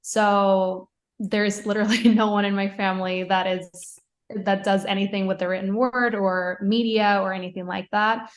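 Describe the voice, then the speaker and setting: A woman's voice: medium pitch, little pitch movement, moderate pace. A young woman from Puerto Rico answering interview questions in a chatty way, with frequent fillers, restarts and light laughs. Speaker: podcast guest, fashion writer.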